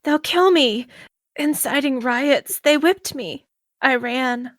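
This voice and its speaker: A woman's voice: high-pitched, takes it slowly, no room sound. A woman playing a feverish, frightened runaway girl in an audio drama, weak, gasping and in pain.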